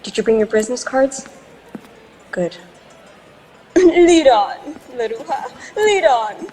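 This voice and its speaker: A woman's voice: on the high side, sing-song, slow, warm tone, husky, some chatter behind, exaggerated voice. A woman playing an effusive, bossy social climber with an affected manner in a radio drama. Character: gushing, bossy, social-climbing married society lady. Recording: long hall reverb, music underneath.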